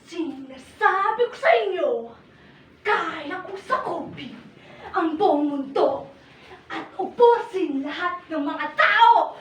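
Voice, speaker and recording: Menacing virus villain for stage played by a performer, bright and sharp, boastful, recorded distantly with noise. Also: extremely high pitch, lively intonation, takes it slowly, echoey room, full character voice. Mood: gloating menace. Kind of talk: stage play villain acting.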